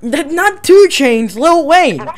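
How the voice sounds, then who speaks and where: A man's voice: extremely high pitch, big pitch swings, slow pace, slight background noise. A young man talking casually as a video creator and prank caller. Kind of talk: casual vlog intro and prank phone call.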